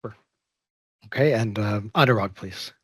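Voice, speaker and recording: A man's voice: on the low side, fairly bright tone, husky, no room sound. Man moderating a panel, dry sound. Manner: measured, with frequent um fillers. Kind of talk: opening remarks on a panel.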